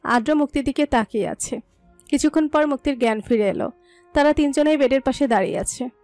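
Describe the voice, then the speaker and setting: Female narrator, high-pitched, scripted romantic story, dry close sound, music bed. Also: fairly quick, no room sound. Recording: dry voice over soft music.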